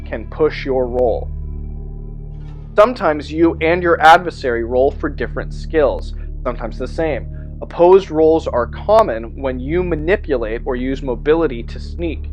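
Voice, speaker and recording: A man hosting a tabletop game rules explainer, partly reading aloud and adding informal asides in an explanatory way. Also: on the high side.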